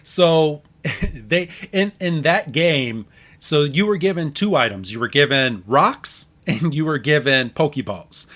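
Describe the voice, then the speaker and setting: A man hosting a podcast in a casual recap style, halting and restarting his words, often chuckling. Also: a little high, animated, fairly bright tone. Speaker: podcast host. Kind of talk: casual episode recap chat.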